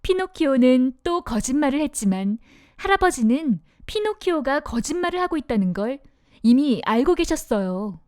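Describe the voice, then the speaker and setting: Lively sing-song woman storyteller. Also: high-pitched, no room sound, clean studio sound. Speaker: narrator. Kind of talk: children's storybook narration.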